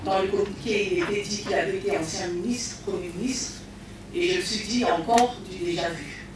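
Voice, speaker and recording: A woman's voice: medium pitch, steady tone, brisk. A woman from the audience putting a direct, challenging question at a public meeting. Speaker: audience member asking a question. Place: large meeting room. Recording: echoey hall from far off, noisy.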